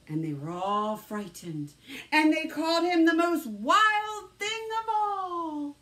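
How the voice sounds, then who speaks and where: Slow, dramatic woman reading a storybook. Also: extremely high pitch, sing-song. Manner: dramatic, with hushed whispered phrases and drawn-out words. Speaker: teacher reading a story aloud. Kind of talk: expressive children's storybook narration.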